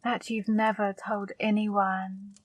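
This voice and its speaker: Slow, flat-voiced flirty demon woman a woman playing. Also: mid-range voice, no echo, rough recording. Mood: seductive. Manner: slow, soft, commanding. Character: flirty seductive female demon, controlling. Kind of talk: ASMR roleplay.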